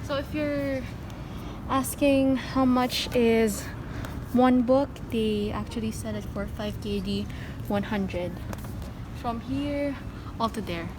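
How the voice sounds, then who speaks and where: A woman's voice: fairly high pitch, slow pace, background noise. A woman with a Filipino accent chatting casually as a book vlogger, full of fillers and self-corrections. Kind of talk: casual vlog chat.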